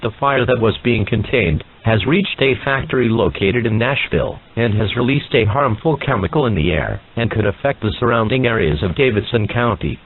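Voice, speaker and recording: A man reading a scripted emergency broadcast announcement in a formal, measured manner, as an alert announcer. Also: fairly low pitch, slightly rough. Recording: muffled narrowband radio-like sound.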